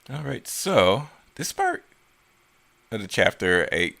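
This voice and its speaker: A man's voice: on the low side, big pitch swings, very slow, gravelly, bright, forceful tone, no echo. A man giving casual, unscripted commentary as a video creator, rambling and often correcting himself as he goes. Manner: rambling, self-correcting, stumbling over words.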